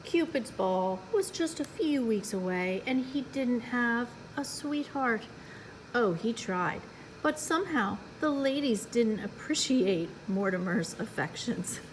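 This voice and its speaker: A woman with a slightly high voice reads a picture book to young children. She takes her time, telling the story playfully and turning to her listeners with chatty asides. There is faint noise behind her. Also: animated, takes it slowly, low-quality recording. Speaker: children's librarian, storytime host.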